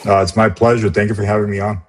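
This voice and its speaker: Low husky-voiced middle-aged man. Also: level tone. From Romania, raised in the US. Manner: reflective, halting, many restarts. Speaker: guest, business executive. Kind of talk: casual interview conversation.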